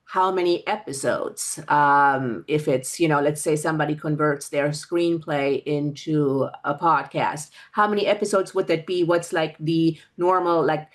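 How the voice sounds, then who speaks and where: A woman's voice: low voice, steady tone, unhurried, hardly any pauses. A woman co-hosting an interview, asking questions in a casual conversational style. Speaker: interview co-host.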